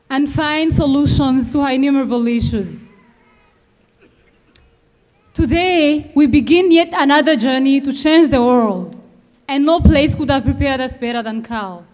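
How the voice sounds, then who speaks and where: A high-voiced young woman from Rwanda delivers an earnest commencement address. She speaks at a measured pace with emphatic pauses at a podium microphone. Her voice rings out with a long reverb from a large venue. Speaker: graduating student speaker. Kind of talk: commencement speech. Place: large outdoor ceremony venue.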